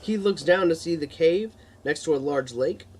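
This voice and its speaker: A man's voice: a little high, normal speed, a little hiss or hum. A man reading his own book aloud in scripted narration, stumbling over and re-reading the odd word. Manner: stumbles and re-reads words.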